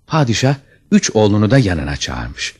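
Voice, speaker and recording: A man's voice: fairly low pitch, very animated, normal speed, breathy edge. A man narrating a scripted fairy tale as a storyteller, with dramatic expression.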